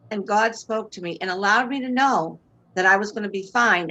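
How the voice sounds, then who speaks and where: An older woman with a Boston accent speaks over a webcam from a room at home. She tells her story earnestly and with strong faith, in a voice of ordinary middle pitch. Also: pushed and bright, poor audio. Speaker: guest. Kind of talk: personal testimony in interview. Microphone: video call webcam.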